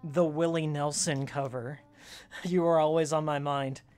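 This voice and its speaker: Raspy game streamer, casual and opinionated. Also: mid-range voice, steady tone, measured pace, a little mellow, faint music behind. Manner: opinionated, joking, slangy. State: voice worn from screaming and ranting. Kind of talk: casual rambling gameplay commentary with fan trivia.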